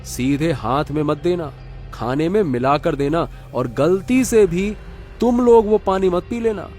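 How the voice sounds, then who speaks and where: A man's voice: fairly high pitch, animated, measured pace, darkish tone, faint music behind. A man acting an evasive, dismissive working father in a Hindi cartoon moral story. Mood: secretive, cautioning.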